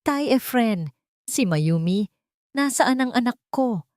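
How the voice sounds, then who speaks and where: A woman's voice: fairly high pitch, expressive, slow, darkish tone, dry recording, clean studio sound, voice pushed up. A woman voicing a desperate, wealthy mother who pleads and commands with emotion in an audiobook drama. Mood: frightened.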